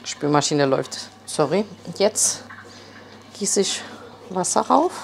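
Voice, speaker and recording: A woman's voice: fairly low pitch, expressive, slow, vocal fry, a little hiss or hum. A woman in a kitchen, explaining cooking in a practical, step-by-step way.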